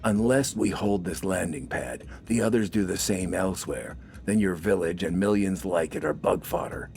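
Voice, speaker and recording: A man voicing a gruff, scornful army corporal in a science fiction story, battle-weary and heavily ironic. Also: sits low, normal speed, darkish tone, faint music behind. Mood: commanding. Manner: sardonic, heavily ironic, barking. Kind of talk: dramatized science fiction audiobook dialogue.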